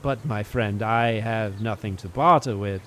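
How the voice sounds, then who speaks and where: A pompous castle gentleman in a fantasy audio drama. He wheedles in florid, hesitant phrases at a slow pace, over faint background ambience. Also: lowish voice, a little hiss or hum. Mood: pleading, ingratiating.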